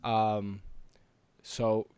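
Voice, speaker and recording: An American man co-hosting a podcast, chatting casually and haltingly, often repeating himself. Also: lowish voice, level tone, darkish tone, clean tone, no echo. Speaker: podcast co-host. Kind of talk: casual podcast chat about news and games.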